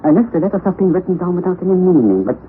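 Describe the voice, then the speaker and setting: Impetuous scholarly professor, lively and bright-pitched, on a hissy, phone-thin 1960s radio recording. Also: male voice, very fast. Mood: musing. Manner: excitable, scholarly, exclamatory. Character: eccentric, impetuous middle-aged male professor. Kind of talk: 1960s radio drama acting. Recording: tinny lo-fi vintage radio broadcast with hiss.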